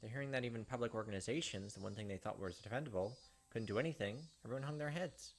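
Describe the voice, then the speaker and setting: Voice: medium pitch, quick pace. A let's play host reading a visual novel aloud and adding casual, sympathetic commentary. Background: game music.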